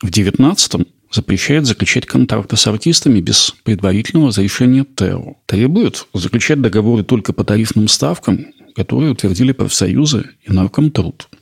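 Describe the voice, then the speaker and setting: A man narrates a history lesson in a calm, measured, explanatory manner. His voice sits low and has a rough, gravelly grain. It also carries a husky edge. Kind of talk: scripted narration.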